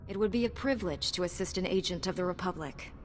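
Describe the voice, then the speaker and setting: A woman's voice: lowish voice, quick pace, lightly acted. An actress playing a noble, composed female knight in a video game, formal and resolute. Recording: long reverb, clear background noise.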